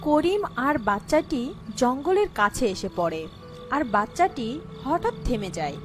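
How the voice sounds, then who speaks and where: A woman reads a children's folk tale as narrator. Her voice is high and swoops expressively, with music softly underneath. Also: very animated, normal speed. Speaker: story narrator.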